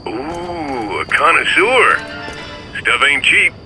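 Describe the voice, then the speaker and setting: An actor playing a shady, sardonic shopkeeper, sly and always dealing, in a video game. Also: male voice, on the high side, very animated, slow, pushed and bright, soft background music, full character voice. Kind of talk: video game cutscene voice acting.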